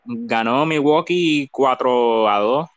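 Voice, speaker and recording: A man's voice: mid-range voice, takes it slowly, dry recording, lo-fi sound. A man co-hosting a podcast, joking and teasing in casual chat. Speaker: podcast co-host.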